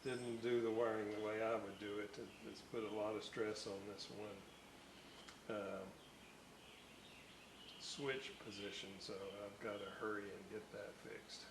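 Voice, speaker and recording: An older man giving casual, halting, folksy narration as a hobbyist at his workbench. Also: fairly low pitch, little pitch movement, background noise. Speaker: radio restoration hobbyist and host.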